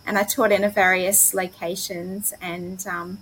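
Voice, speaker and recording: A woman's voice: mid-range voice, little pitch movement, unhurried, a bit bright, poor audio. An Australian woman, a missionary guest, casually telling her own story in an interview. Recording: clear background noise.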